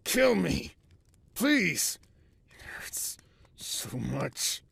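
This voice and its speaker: A man playing a bitter, defeated, badly wounded soldier in a radio drama, gravelly and in pain. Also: on the high side, very animated, extremely slow, very noisy, full character voice. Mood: agonised, defeated.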